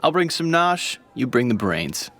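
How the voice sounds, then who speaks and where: A man's voice: mid-range voice, very animated, unhurried, fairly bright tone. A man playing a confident, breezy, slangy teenage buddy in a radio drama. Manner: slangy, casual, cajoling.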